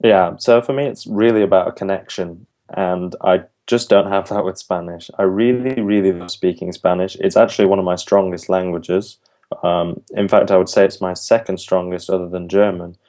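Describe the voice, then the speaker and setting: Young male student interviewee, deep flat delivery, earnest and thoughtful. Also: moderate pace. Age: young adult. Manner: thoughtful, earnest, with frequent ums and restarts. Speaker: interviewee, university language student. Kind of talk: casual interview answers.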